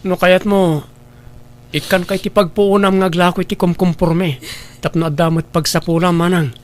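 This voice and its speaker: A man acting as a firm, helpful younger sibling in an Ilocano radio drama. His voice is high for a man, with an Ilocano accent. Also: fairly even intonation, moderate pace, lightly acted. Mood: firm, reassuring. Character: firm younger sibling who helps out the elder sister.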